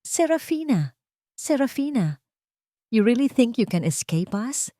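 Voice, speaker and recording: A woman's voice: on the high side, sing-song, unhurried, dark tone, no echo, studio quality, pitched above the performer's own voice. A woman voicing a haughty, contemptuous young rich woman in a drama story, sneering and condescending. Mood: mocking. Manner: sneering, condescending, mixing English into Tagalog.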